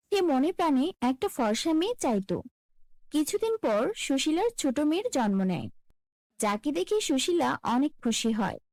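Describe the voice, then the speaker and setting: A woman's voice: high voice, darkish tone, no echo. A woman narrating a scripted fairy tale as a storyteller.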